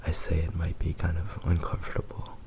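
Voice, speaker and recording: A man playing a possessive, doting fox-boy demon lover in a tender, hushed ASMR roleplay, with lingering pauses. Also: low-pitched, monotone, lightly acted. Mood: adoring, soothing.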